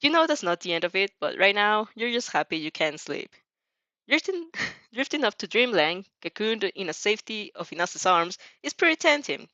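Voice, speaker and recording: A woman with a mid-pitched voice talks in a giggly, informal ramble, moving between casual reaction chat and expressive story reading. The recording is dry and slightly muffled, with little room sound. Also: moderate pace, no room sound. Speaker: audio fan fiction reader and reactor.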